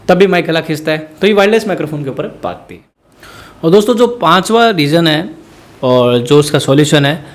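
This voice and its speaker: Man, brisk tutorial voice, raised pitch, rambling explainer. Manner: rambling, explanatory, addresses viewers as friends. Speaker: musician teaching sound setup. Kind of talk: spoken tutorial.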